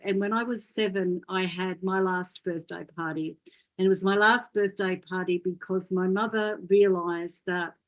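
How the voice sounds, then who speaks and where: An older woman from Wales, a guest in an interview, telling her story in a gentle, reflective way. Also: mid-range voice. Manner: gentle, reflective, laughs often.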